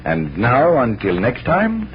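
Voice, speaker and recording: An actor playing a confident magician detective, commanding and instructive, in an old-time radio drama. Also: male voice, mid-range voice, huge pitch swings, soft background music. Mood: showmanlike, instructive. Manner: brisk, authoritative explaining. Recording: muffled old radio recording with hum.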